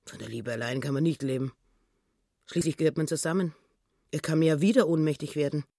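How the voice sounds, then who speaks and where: An actor playing a self-righteous, grasping wife in a radio play, talking in sententious platitudes. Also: male voice, on the high side, brisk, creaky, dark tone, no echo, studio quality. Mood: righteous, nagging. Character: self-righteous, grasping wife nagging about duty and money.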